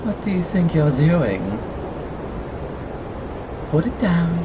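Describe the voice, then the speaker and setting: A man acting an obsessive, sadistically tender lover in a scripted ASMR roleplay, taunting with breathy chuckles. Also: on the high side, sing-song, takes it slowly, background noise. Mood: mocking, controlling.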